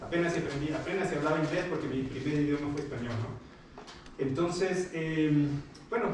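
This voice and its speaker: Man, 40s, from Guatemala, guest speaker; a higher voice, hesitant, picked up from afar in a reverberant room. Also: moderate pace, far from the mic, background noise. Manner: hesitant, many fillers and restarts, friendly. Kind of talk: informal talk to students. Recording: echoey hall, picked up from afar.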